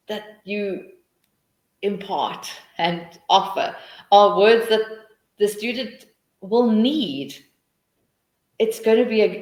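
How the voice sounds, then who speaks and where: A woman's voice: mid-range voice, darkish tone, reverberant, poor audio. A middle-aged woman with a South African accent, answering questions in a webinar and thinking aloud with many restarts. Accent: South African English. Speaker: teacher trainer.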